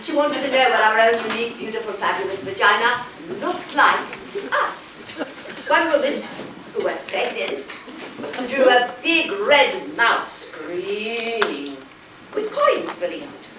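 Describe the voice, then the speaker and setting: An actress playing an earnest, awkward, wonder-struck woman in a theatrical stage monologue, savoring her words with deliberate pauses. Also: fairly high pitch, sing-song. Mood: wry, confiding. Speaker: stage actor. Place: college theater stage before an audience. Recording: echoey theater, distant mic, noisy hiss.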